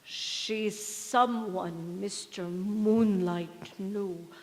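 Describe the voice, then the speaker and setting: A woman acts out a solemn, quietly commanding figure in a radio play. She speaks very slowly and deliberately at a medium pitch, with long hall reverb. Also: fairly even intonation. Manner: deliberate, grave. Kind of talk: stage radio-play acting. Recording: echoey hall, music behind.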